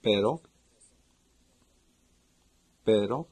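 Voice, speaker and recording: A man with a Spain Spanish accent playing a courteous, sociable Spanish man in a language-course dialogue, speaking slowly and clearly for learners. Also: lowish voice, darkish tone, full character voice. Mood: clear, deliberate. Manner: slow and clearly spoken for learners.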